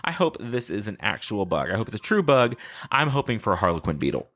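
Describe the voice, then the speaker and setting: Casual, playful man with lively low-pitched delivery. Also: fast. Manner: playful, rambling, self-deprecating jokes. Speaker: podcast host. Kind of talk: casual unscripted solo podcast chat.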